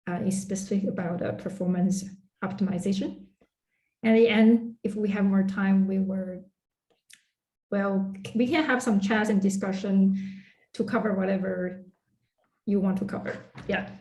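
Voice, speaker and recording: A woman with a mellow, dark-toned voice hosts an online meetup over a video call. She talks in a friendly, hesitant way, full of fillers and restarts, and her voice rings a little in an echoey room. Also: medium pitch, fairly even intonation, normal speed. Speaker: meetup host.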